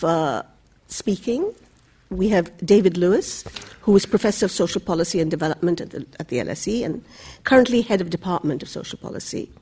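A woman from Bangladesh chairing a public panel discussion, giving formal introductions in a measured way with occasional fillers. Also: fairly low pitch, mellow, slightly rough.

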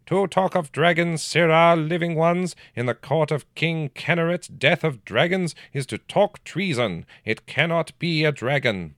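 A man voicing a stern, haughty old royal counselor who speaks in a sharp, clipped, commanding voice. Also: fairly high pitch, measured pace, few pauses, dry recording, studio quality, pitched above the performer's own voice.

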